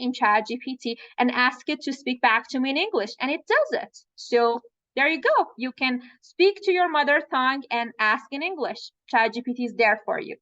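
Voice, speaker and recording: Higher-pitched woman, Farsi native, explaining patiently over a dry, muffled call line. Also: fairly bright tone, no room sound. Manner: explanatory, frequent fillers. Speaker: coach. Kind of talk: live online training.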